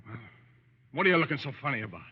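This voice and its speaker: An actor playing a nervous, meek young lawyer and self-pitying husband in an old radio drama, hesitant and stammering. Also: male voice, mid-range voice, sing-song, rapid-fire, slightly rough. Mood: sullen, drunk. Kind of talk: 1930s-40s radio acting. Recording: muffled vintage radio recording, echoey, faint hiss.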